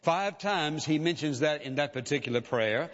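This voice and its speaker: A man's voice: a little high, lively intonation, fairly bright tone. Male pastor preaching in a reverberant hall. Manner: measured, emphatic preaching with repeated phrases. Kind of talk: sermon. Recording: dull lo-fi sound with long hall reverb.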